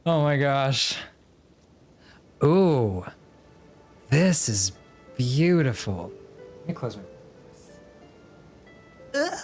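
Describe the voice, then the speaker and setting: Male streamer, wry and casual, unhurried delivery, faint game music underneath. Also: medium pitch, very animated, a little mellow. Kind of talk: casual livestream commentary and reading game dialogue aloud.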